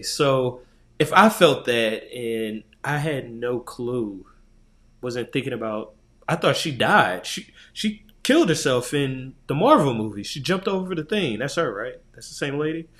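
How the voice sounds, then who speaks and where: A man's voice: medium pitch, fairly bright tone. A man co-hosting a podcast in casual chat, talking in a slangy, joking, rambling way. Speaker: podcast co-host.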